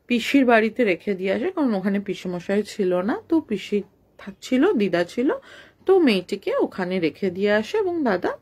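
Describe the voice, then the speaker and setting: A woman's voice: mid-range voice, a little mellow. A woman telling a supernatural story in an informal, conversational way, mixing in English words. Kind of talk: informal spoken horror storytelling.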